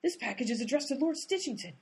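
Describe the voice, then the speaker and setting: Woman voicing a bossy amateur sleuth, breathy, very lively pitch, exasperated. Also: fast talker, full character voice. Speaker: lead character, amateur sleuth. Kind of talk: comic radio play acting.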